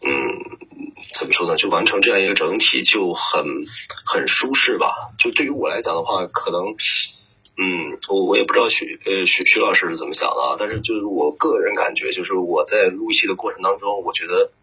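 A man's voice: sits low, a little fast, pushed and bright, husky, low-quality recording. A man working as a voice actor, giving reflective interview answers and hesitantly searching for words. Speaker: voice actor, guest.

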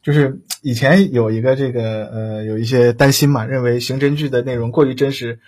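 Raspy-edged man chatting on a panel. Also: medium pitch, steady tone, darkish tone. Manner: halting, repetitive, searching for words. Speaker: talk show panelist. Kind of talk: casual livestream discussion.

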